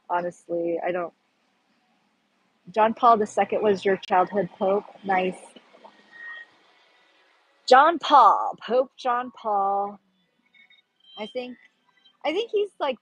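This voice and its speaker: A woman's voice: mid-range voice, breathy edge, no room sound, low-quality recording. A woman from the Pacific Northwest with an American accent of that region, chatting on a livestream and rambling as she searches for words. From Pacific Northwest, United States. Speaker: livestream host. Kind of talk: casual livestream chat.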